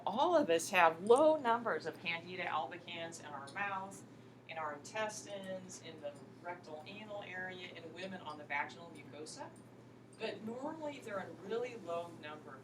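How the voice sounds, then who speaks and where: A woman lecturing to a college class in a folksy, encouraging way, prompting students with questions as she goes. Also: on the low side. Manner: folksy, addresses students often as "you guys" and "folks"; encouraging, question-and-answer teaching. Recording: clearly noisy classroom recording.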